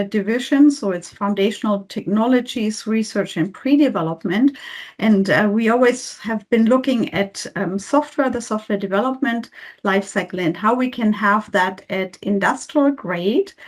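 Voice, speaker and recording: Formal woman introducing herself on a panel. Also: medium pitch. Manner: polite and formal, some fillers. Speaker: industrial researcher.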